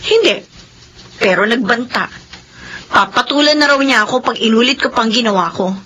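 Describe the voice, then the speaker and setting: An actress playing a caring, exasperated young older sister in a Filipino radio drama, earnest and emotional. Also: female voice, on the high side, normal speed, bright, forceful tone, a little hiss or hum, low-quality recording. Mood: troubled.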